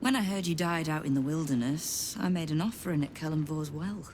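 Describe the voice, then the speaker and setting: A woman's voice: deep voice, a little mellow, a little hiss or hum, lightly acted. A woman playing an imperious crime guildmaster in a video game, sardonic, commanding and coolly menacing. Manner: sardonic, commanding, deliberate phrasing. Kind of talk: video game dramatic acting.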